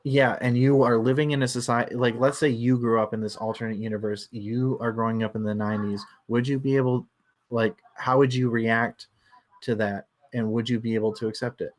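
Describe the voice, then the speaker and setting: A man hosting a casual book discussion, thinking aloud in a halting way and circling back over his phrases. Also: medium pitch, steady tone. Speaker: book club discussion host.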